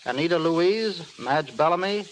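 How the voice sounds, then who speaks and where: A man with a fairly high voice reads stiffly as an interview guest on old-time radio. The delivery is formal and scripted, with faint noise in the vintage recording. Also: expressive, normal speed. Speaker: magazine editor as radio guest. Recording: noisy old 1930s radio broadcast.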